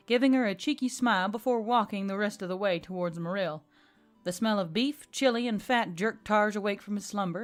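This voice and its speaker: A woman reading scripted fiction as an audiobook narrator. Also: medium pitch.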